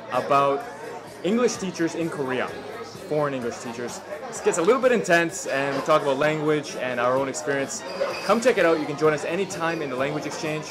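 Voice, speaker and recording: A man hosting a vlog, introducing it to the camera in an upbeat, direct way. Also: fairly high pitch. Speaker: host. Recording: crowd and music behind.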